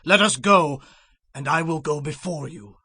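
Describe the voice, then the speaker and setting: Gravelly, husky biblical chieftain. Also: male voice, a little high, measured pace, pushed and bright, dry recording, studio quality, exaggerated voice. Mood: generous, hearty. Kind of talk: dramatized scripture reading.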